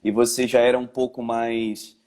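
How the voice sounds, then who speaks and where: A man's voice: mid-range voice, level tone, moderate pace, darkish tone. A man in his thirties from Caruaru with a slightly mixed Pernambuco accent, a pastor talking with heartfelt, grateful emotion on a church livestream, full of "né" and "cara". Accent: Pernambuco Portuguese, slightly mixed. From Caruaru, Brazil. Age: 30s. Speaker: pastor, invited guest.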